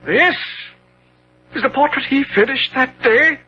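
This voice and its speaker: An actor playing a weary, jaded aging gentleman, excitable and indignant, with florid theatrical delivery in 1970s radio drama style. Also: extremely high pitch, unhurried. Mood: shocked. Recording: dull, hissy old radio broadcast.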